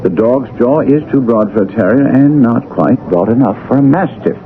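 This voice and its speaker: An actor playing a keen, self-assured detective with a brisk, emphatic, teasing manner in a 1970s radio drama. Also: male voice, mid-range voice, lightly acted. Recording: tinny old radio broadcast, faint noise.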